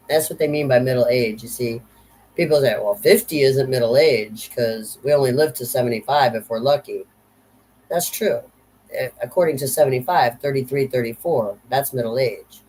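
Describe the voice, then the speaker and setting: A woman's voice: a bass voice, moderate pace, dark tone, a little hiss or hum, rough recording. A woman in her fifties delivering an unscripted rant to camera, blunt and profane, circling back over the same points with sardonic humor. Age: 50s. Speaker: vlogger.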